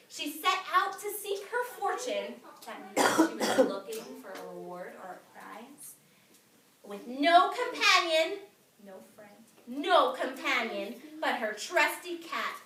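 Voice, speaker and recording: A woman teacher reading a picture book aloud to young children, expressive and emphatic, pausing to instruct the class. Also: high voice. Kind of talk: animated classroom read-aloud. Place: echoey indoor classroom. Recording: echoey room, distant mic, noisy, clicks.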